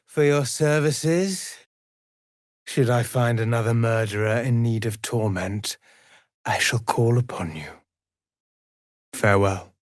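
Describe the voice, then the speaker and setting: An actor playing a mysterious, brooding male avenger in a video game, speaking in grave, measured, formal phrases with a gravelly, hushed edge. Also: mid-range voice, no echo, studio quality, close to an everyday voice. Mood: solemn, musing. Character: mysterious, brooding male avenger who hunts and torments murderers. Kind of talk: dramatic video game voice acting.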